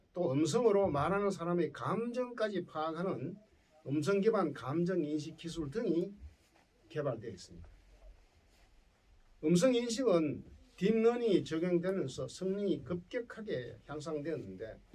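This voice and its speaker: Animated Gyeongsang man lecturing, husky voice. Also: a little high, far from the mic. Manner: checks in with listeners using tag questions. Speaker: professor giving a lecture. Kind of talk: lecture to school students. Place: indoor lecture before students.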